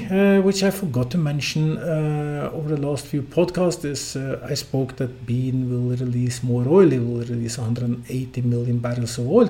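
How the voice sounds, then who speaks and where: Mellow-voiced Norwegian man commenting on markets. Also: mid-range voice. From Norway. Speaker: podcast host. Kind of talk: solo financial market commentary.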